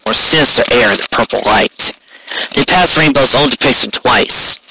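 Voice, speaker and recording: A man's voice: medium pitch, background noise. A man reading a scripted fantasy story aloud as its narrator. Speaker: fan fiction narrator.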